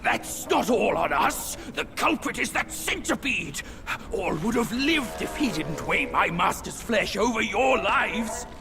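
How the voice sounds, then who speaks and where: A man voicing a brash, lecherous and cowardly pig demon in a video game, with a gravelly, theatrical, mocking delivery. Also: sits high, big pitch swings, unhurried, full character voice. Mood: defensive. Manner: theatrical, blustering, prone to shouting. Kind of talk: video game cutscene acting. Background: dramatic game music.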